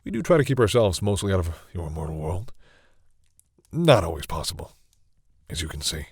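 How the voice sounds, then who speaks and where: A man playing a centuries-old vampire in an intimate audio roleplay, speaking formally but haltingly in a husky, breathy voice. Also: deep voice, very animated, no echo, studio quality, exaggerated voice. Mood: awed, hesitant. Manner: hesitant, halting, formal phrasing. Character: centuries-old male vampire, courteous, hesitant, commanding with servants.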